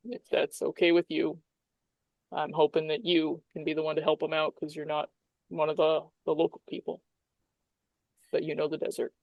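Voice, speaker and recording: A woman's voice: deep voice, flat delivery, moderate pace, gravelly, pauses often, no room sound, dull audio. A woman playing a plain-spoken, worried local lawman briefing a colleague. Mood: earnest, tired.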